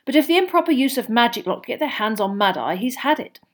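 A woman voicing a flustered middle-aged male official, talking urgently and fast. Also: on the high side, lively intonation, voice pushed up.

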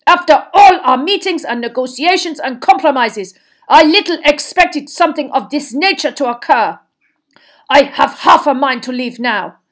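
A woman's voice: fairly high pitch, normal speed, few pauses, natural voice. A woman voicing a cold, scheming male headmaster with a disdainful, indignant manner in a storybook. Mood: furious.

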